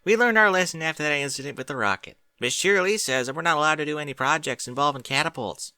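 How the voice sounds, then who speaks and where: A man voicing a cheerful, eager young filly with a high, bright voice. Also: fast, clear, resonant tone, no room sound, clean studio sound.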